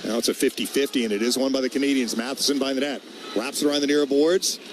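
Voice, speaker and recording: A man's voice: medium pitch, steady tone, quick pace. A man doing live radio hockey play-by-play in clipped, short phrases that name players as the action moves. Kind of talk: live play-by-play sports commentary. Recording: noisy arena ambience behind the voice.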